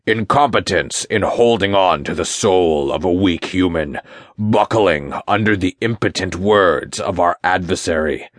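A man's voice: deep voice, a bit bright, no echo, studio quality. A man voicing a pompous, menacing Satan, wrathful king of demons, in a dramatic first-person audiobook narration.

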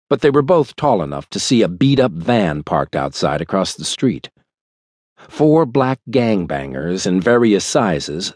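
A man reading a thriller audiobook in a measured, dramatic storytelling manner. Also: mid-range voice, darkish tone, dry recording. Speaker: audiobook narrator. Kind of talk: scripted thriller audiobook narration. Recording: dry studio recording.

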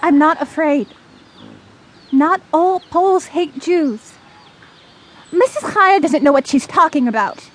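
A woman's voice: sits high, expressive, slow, pauses often. An actress playing a brave, idealistic young girl in an audio drama, earnest and defiant. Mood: determined, hopeful.

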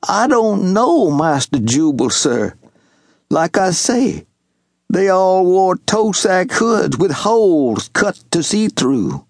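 Brief: man doing old Southern servant, loyal, humble, raised high, slow earnest drawl, storybook read. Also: big pitch swings, dry recording, studio quality, full character voice. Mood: grave. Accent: Southern US rural dialect. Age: older. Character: elderly male farm servant, loyal and humble.

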